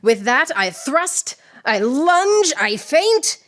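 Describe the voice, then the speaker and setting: A woman's voice: high-pitched, very animated, slow, a bit bright, heavily acted. A woman playing a proud, witty swordswoman poet in radio theatre, declaiming verse with mocking precision. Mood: triumphant, taunting.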